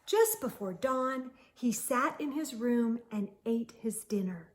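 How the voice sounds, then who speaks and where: A woman hosting children's storytime, reading a picture book aloud expressively with deliberate, drawn-out phrasing. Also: on the high side, animated. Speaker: children's storytime host and reader.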